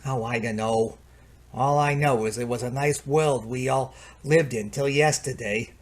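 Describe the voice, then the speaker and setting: A man playing a harried, excitable computer repairman in a radio play, halting and exclamatory, with folksy turns of phrase. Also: medium pitch, lightly acted. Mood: gloomy.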